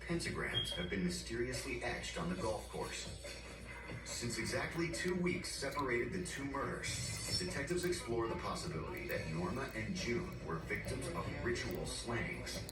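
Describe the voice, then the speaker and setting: A man's voice: mid-range voice, big pitch swings, moderate pace. A man reading scripted true-crime narration as a documentary narrator. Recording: echoey distant TV playback under loud music. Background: loud background music.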